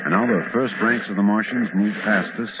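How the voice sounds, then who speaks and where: A man's voice: fairly low pitch, steady tone, brisk, lightly acted. Man acting a dramatic American radio parade announcer. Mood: hushed, awed. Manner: theatrical, breathlessly descriptive. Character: excited male parade announcer turning uneasy. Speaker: on-the-spot radio commentator. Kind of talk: old-time radio drama live commentary. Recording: muffled vintage radio, loud crowd and noise. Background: noisy parade crowd.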